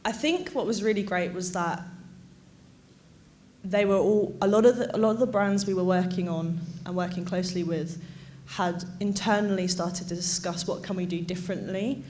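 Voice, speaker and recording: A woman with a fairly low voice talking on a conference panel. She speaks in a chatty, slightly rambling way and often restarts her sentences. A faint room ambience sits behind her. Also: fairly even intonation, normal speed, a little mellow, a little hiss or hum. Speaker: agency panelist. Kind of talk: panel discussion.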